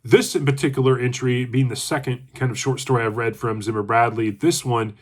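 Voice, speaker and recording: A congested man hosting his own channel, giving a chatty, relaxed book review and thinking aloud as he goes. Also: medium pitch, a little fast. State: congested from allergies. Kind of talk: casual book review talk.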